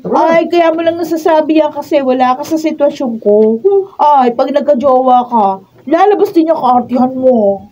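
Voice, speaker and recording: A woman's voice: sits high, moderate pace, mellow, close to an everyday voice. A woman acting a bratty, childish young girlfriend in a Filipino radio drama, with a whiny, nagging manner and a Filipino accent. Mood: haughty. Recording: dull lo-fi room recording with clear noise.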